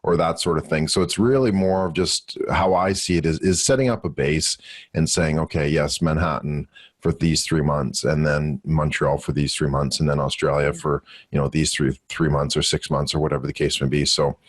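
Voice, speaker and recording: A Canadian man with a low, deep voice, answering questions as a podcast guest. He speaks casually and good-humouredly, feeling his way through sentences with stops and restarts. The sound is close and dry, with very little room. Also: fairly even intonation, no room sound. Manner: halting, good-humoured, chuckles often.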